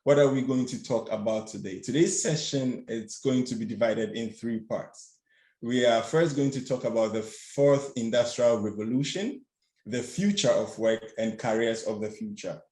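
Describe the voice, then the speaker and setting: A man's voice: mid-range voice, darkish tone. Young guy from ghana giving a webinar, kinda nervous, stammers and restarts a lot, echoey room. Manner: halting, stammers with restarts and fillers. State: a bit nervous. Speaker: student workshop facilitator. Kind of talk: webinar presentation. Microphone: video call. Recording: roomy echo.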